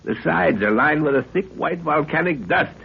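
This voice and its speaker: A man's voice: fairly high pitch, animated, normal speed, vocal fry, slight background noise. An actor playing a middle-aged explorer father, curious, authoritative and given to explaining, in a 1930s radio serial. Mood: marvelling. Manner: explanatory, exclaiming, commanding. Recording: dull 1930s radio recording.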